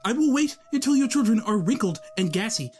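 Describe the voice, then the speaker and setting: Sardonic skinny young cartoonist, played by a man, narrating his own dreary nights with theatrical mock-grandeur. His mid-pitched voice leaps up and down expressively. Music plays behind him. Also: animated, a little fast, darkish tone, slight background noise. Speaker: narrator and lead.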